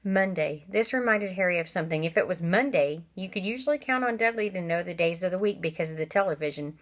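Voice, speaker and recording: Woman reading a novel aloud to kids. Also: fairly low pitch. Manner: expressive, pausing for asides to listeners.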